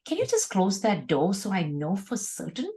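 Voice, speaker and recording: A woman's voice: medium pitch, wildly swooping pitch, normal speed, warm tone. A woman speaking as an interview guest, reflecting on personal experience in an earnest, emphatic conversational way, often repeating words for emphasis. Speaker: guest, organisational leader.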